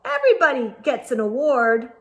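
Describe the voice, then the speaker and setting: A woman's voice: high-pitched, wildly swooping pitch, normal speed. A woman voicing a cheeky, irreverent young schoolboy with a teasing manner in a children's storybook.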